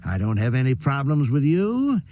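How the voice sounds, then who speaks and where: An actor playing an arrogant, corrupt city mayor in a video game, condescending and quietly menacing. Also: male voice, mid-range voice, wildly swooping pitch, normal speed, no echo. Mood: veiled threat. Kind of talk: scripted video game drama.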